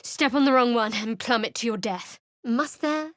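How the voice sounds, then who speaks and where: Woman voicing a secretive young female cleric, dry sardonic delivery, high voice, close dead studio sound. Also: normal speed, a bit bright, no echo, natural voice. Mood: wry. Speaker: game companion. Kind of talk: studio game voice lines. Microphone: close studio mic.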